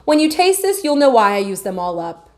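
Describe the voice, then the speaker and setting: A woman's voice: on the high side, sing-song, a little fast, a little mellow. A woman voicing a cheerful, bumbling father in a storybook read-aloud, upbeat and coaxing.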